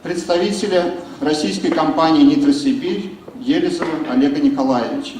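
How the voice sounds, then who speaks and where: A man's voice: fairly high pitch, brisk, darkish tone, a little hiss or hum. A man acting as master of ceremonies, introducing guests in formal, ceremonial phrasing. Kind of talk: formal event announcing. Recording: echoey hall, distant mic, crowd behind.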